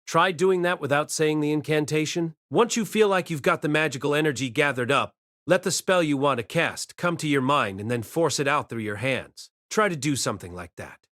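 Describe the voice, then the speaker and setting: Male actor as boy mage narrator, lightly high voice, dry studio sound, wry, self-deprecating, explanatory, audiobook storytelling. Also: moderate pace, fairly bright tone, dry recording, close to an everyday voice. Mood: patient explaining. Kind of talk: first-person audiobook narration with acted dialogue.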